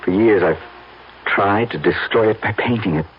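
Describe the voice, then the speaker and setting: Man acting a low-voiced, haunted, brooding painter. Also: normal speed, slight background noise, lightly acted. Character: tormented brooding male painter, secretive and haunted. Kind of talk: 1970s radio drama acting. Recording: muffled old radio, music behind.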